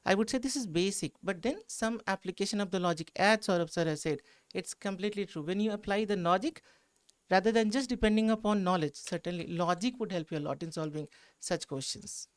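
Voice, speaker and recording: A man's voice: sits high, fairly quick. A man with an Indian accent giving an explanatory, emphatic exam analysis as a teacher. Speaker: history teacher at exam coaching institute.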